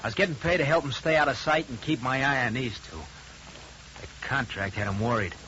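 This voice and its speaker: Blunt, earnest male investigator, played by an actor, medium pitch, hard bright tone, old muffled radio serial. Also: a little fast, natural voice. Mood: explanatory. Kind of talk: 1950s radio drama acting. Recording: dull, hissy 1950 radio recording.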